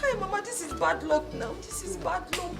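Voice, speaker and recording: A woman's voice: very high voice, expressive, normal speed, gravelly, over loud music, full character voice. An actress playing a distraught young woman, wailing and pleading. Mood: despairing. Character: distraught young woman, grieving fiancé's loss. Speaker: daughter.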